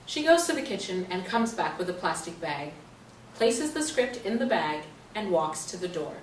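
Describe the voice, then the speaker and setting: A woman's voice: on the low side, sing-song, moderate pace. A woman narrator reading stage directions in a scripted play reading. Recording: roomy stage, distant mic, faint noise.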